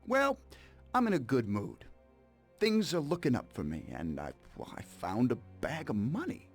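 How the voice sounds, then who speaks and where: Game villain: smug male mobster, mocking and theatrical, lively swings in pitch, faint music behind. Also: normal speed. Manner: mocking, sardonic, menacing. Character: smug, cold-blooded mobster betraying his old partner. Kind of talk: dramatic video game cutscene acting.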